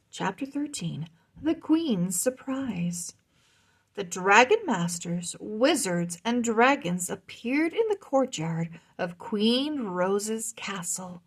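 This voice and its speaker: A woman reading a children's chapter book aloud as host, in expressive, deliberate scripted narration. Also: medium pitch, breathy edge. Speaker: storybook reader, host.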